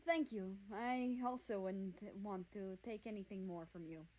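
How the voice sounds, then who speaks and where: A woman's voice: medium pitch, unhurried, a little mellow, close to an everyday voice, poor audio. A woman voicing a polite, hesitant prince in a fantasy storybook, with a questioning manner.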